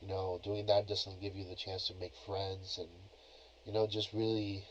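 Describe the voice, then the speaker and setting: A young man talks on his own podcast in a relaxed, rambling way, leaning on filler phrases as he goes. His voice sits on the low side. It comes through a cheap plug-in mic that sounds dull and lo-fi. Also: normal speed, slight background noise. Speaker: podcast host. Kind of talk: casual solo podcast talk. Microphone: cheap plug-in computer microphone.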